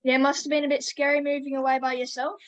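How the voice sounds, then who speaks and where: Woman podcast host, high voice, upbeat and friendly, casual questions. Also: little pitch movement, quick pace. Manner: upbeat, friendly, calls guest mate. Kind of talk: interview questions.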